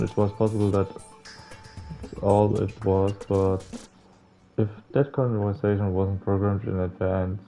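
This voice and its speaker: A young man reading a visual novel aloud in a halting, casual way, like a gaming streamer. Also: lowish voice, warm tone. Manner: halting, stumbling reading with casual slangy interjections. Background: faint game music.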